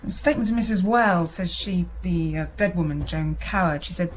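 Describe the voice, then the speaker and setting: An actress playing a businesslike police sergeant in a radio drama, reporting evidence plainly and a little tentatively. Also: female voice, fairly low pitch, fairly quick, slight background noise, natural voice. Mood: matter-of-fact. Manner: matter-of-fact, slightly tentative. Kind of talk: naturalistic radio drama dialogue.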